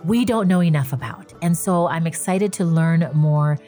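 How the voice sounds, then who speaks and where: A woman's voice: low-pitched, moderate pace, dark tone, soft background music. A woman hosting a podcast interview, upbeat and enthusiastic as she introduces and questions her guest. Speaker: podcast host. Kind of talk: read intro, then casual interview questions.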